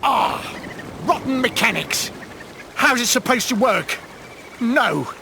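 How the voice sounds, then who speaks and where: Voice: mid-range voice, animated, slow pace. A performer voicing a hot-tempered, frustrated villain in a video game, with exasperated outbursts. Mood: furious. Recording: clear noise behind the voice.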